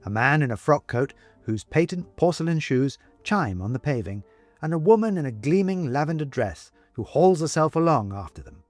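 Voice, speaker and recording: A man narrating an audiobook story in a deliberate, expressive, literary delivery. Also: fairly high pitch, no room sound, faint music behind.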